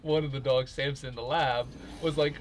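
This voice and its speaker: A man's voice: mid-range voice, fairly even intonation, normal speed, low-quality recording. A young man telling stories casually during a game, rambling along with plenty of "like" and "you know". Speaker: gamer, co-host. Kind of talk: casual storytelling over gameplay. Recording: clear background noise.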